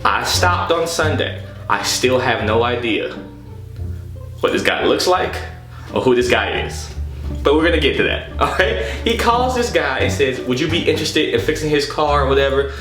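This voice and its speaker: A man telling a story casually and comedically, in an exasperated, animated, slangy way with plenty of swearing. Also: medium pitch, normal speed. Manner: animated, exasperated, profane, slangy with repeated "you know what I'm saying". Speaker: storytelling vlogger. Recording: echoey room with music behind.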